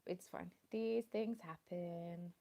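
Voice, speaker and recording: Gravelly woman chatting live. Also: fairly low pitch, steady tone, slow pace, dark tone. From England. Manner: rambling, chatty, greeting viewers by name. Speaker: craft live streamer. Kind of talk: casual live stream chat. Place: at home in the evening, dim light. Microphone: phone with a small plug-in mic.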